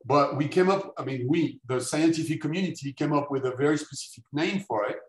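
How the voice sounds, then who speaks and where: A man who grew up speaking French leads a lively lecture, asking his students questions and urging them to answer. His voice sits a bit high for a man. The sound is roomy and echoey. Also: brisk. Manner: energetic, engages audience with questions and prompts. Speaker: guest lecturer.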